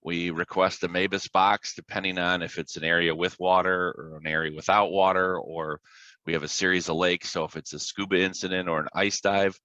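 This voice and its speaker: A man's voice: sits low, flat delivery, fairly quick, pushed and bright, no echo, dull audio. An American man talking casually as a podcast guest, explaining his dispatch work in an informal, plain-spoken way. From Illinois, United States. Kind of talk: casual explanatory interview talk with anecdotes.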